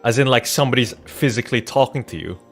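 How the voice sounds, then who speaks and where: A man's voice: mid-range voice, fast talker, fairly bright tone. A man livestreaming a game, chatting in a rambling, informal, joking way with casual swearing. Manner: joking, irreverent. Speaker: game streamer. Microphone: Rode NT1-A studio condenser microphone. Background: background music.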